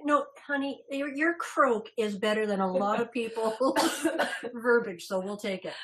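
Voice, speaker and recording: A woman's voice: on the high side, unhurried, a bit bright. A woman consultant presenting a salon business webinar in a chatty, upbeat way, laughing easily.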